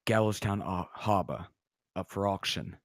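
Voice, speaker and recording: A man reading the part of a huge paladin knight in a screenplay table read, gruff, terse and commanding. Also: deep voice, normal speed, dry recording, studio quality. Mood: gruff, businesslike.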